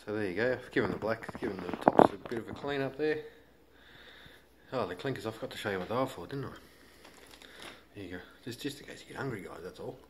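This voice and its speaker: A man's voice: lowish voice, lively intonation, fast, pauses often. An Australian man giving a casual, laid-back hands-on how-to commentary in colloquial language. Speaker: hobbyist demonstrator. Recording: noisy handheld home video.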